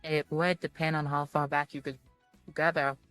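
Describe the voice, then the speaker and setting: A woman giving let's-play commentary and reading game text aloud in a halting, rambling way. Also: deep voice, little pitch movement, a bit bright, no echo. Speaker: streamer playing a visual novel. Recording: music playing behind the voice. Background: background music.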